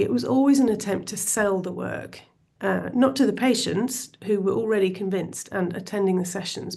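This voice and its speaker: Middle-aged woman, panel speaker, croaky from a cold, gravelly edge, hesitant fillers, video call. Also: mid-range voice, darkish tone. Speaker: panel speaker from a health and arts alliance. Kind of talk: online panel talk.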